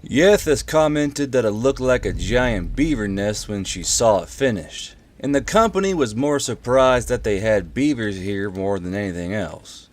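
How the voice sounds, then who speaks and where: Male narrator-host, lower-pitched voice, offhand and casual, for story readings and channel talk. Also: expressive, measured pace, few pauses. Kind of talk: casual intro, then audiobook-style story narration.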